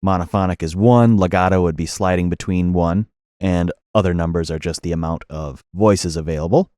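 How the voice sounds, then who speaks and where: Male tutorial instructor, low register, methodical and explanatory delivery, close dry studio voice-over. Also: normal speed, warm tone, no echo. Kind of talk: software tutorial narration.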